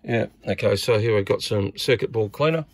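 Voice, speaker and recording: A man's voice: on the low side, a little fast, breathy edge. An Australian man giving a casual, unscripted repair walkthrough, hesitantly thinking aloud as he works. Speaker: radio repair hobbyist presenter.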